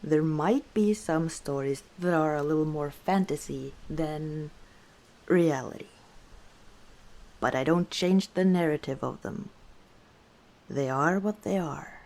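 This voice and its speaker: A woman playing an easygoing, teasing female orc tavern owner in an unhurried ASMR roleplay. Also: low-pitched, darkish tone. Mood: wry, reflective. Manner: unhurried, playful teasing with frequent chuckles. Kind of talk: ASMR roleplay, one side of a conversation.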